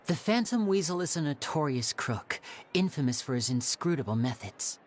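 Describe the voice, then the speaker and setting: A woman playing a suave, theatrical young male stage magician in an anime game, smooth and showmanlike. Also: sits low, very animated, normal speed. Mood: smooth, showy. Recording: music playing behind.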